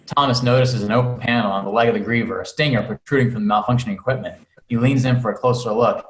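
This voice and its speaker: A man's voice: mid-range voice, fairly even intonation, fast talker. A man reading screenplay stage directions aloud as narrator at a table read over a video call. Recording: roomy video call, faint noise.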